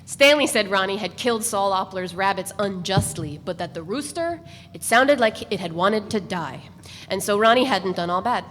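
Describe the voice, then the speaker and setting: A woman narrating a novel at a live dramatic reading in a measured, even way. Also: mid-range voice. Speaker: narrator reader. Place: event space. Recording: reverberant live room, faint noise.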